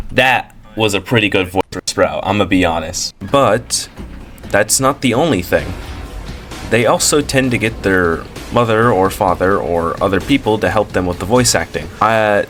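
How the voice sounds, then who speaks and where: A man with a deep voice rambles through a casual opinion commentary, often correcting himself and filling pauses. Faint music plays beneath his talk. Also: a bit bright. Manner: rambling, self-correcting, many fillers. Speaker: commentary channel host.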